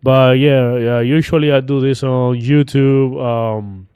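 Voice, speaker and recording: Cuban man, smooth dry voice. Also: medium pitch, fairly even intonation, slow pace, mellow, dry recording. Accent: Cuban Spanish. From Cuba. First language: Spanish. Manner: chatty, self-deprecating, jokes around. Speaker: channel host. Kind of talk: casual political commentary vlog.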